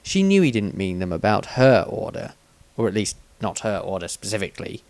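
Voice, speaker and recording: A man reading a fantasy story aloud in steady, measured scripted narration. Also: on the low side, no echo. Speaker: audiobook reader.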